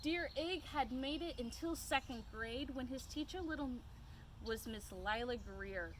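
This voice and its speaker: A woman's voice: high voice, measured pace. A woman reading a children's picture book aloud outdoors, expressively, with asides to young listeners. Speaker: storytime reader. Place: outdoors by a playhouse. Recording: clear outdoor background noise.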